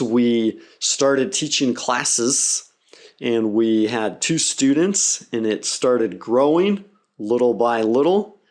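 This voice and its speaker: A middle-aged man who is a native English speaker, explaining his language-learning method to students in a steady, measured way. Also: medium pitch. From English-speaking country. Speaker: English teacher.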